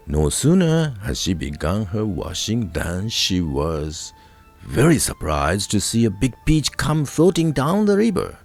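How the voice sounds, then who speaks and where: Very animated, slow-paced male storyteller. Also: on the low side. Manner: deliberate storytelling delivery. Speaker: narrator. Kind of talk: scripted folk-tale narration.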